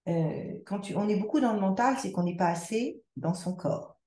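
A woman's voice: mid-range voice, animated, moderate pace, warm tone, roomy sound. A woman speaking as a podcast guest in reflective conversation, circling back and restarting her sentences as she thinks aloud. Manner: reflective, many restarts and repetitions. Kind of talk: conversational interview.

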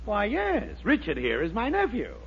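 A man playing a wily, flattering storekeeper uncle with gushing, drawn-out delivery in a 1940s radio sitcom. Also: high-pitched, full character voice. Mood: wry. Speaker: comic actor. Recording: muffled, noisy 1940s radio recording.

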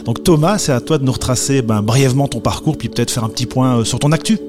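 A man at mid pitch hosts a music podcast from a radio studio, in smooth host patter with playful asides. Clear music plays under his voice throughout. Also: fast talker. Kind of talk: hosted music podcast talk.

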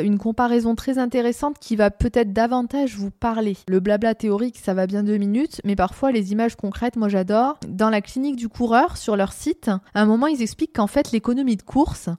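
A woman's voice: on the high side, darkish tone, no echo, clean studio sound. A young woman hosting a running show, explaining things in a casual, thinking-aloud way with frequent hesitations and restarts. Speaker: running podcast host.

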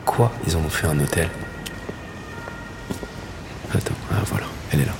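Man, thirties, very deep gravelly voice, muttering to himself, noisy location with traffic. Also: moderate pace. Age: 30s. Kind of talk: casual muttered talk on location.

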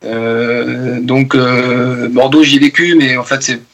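A man's voice: medium pitch, level tone, slow, fairly bright tone. A man in his forties from France, a musician talking loosely in a radio interview, full of fillers and restarts. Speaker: guest musician. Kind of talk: casual interview talk.

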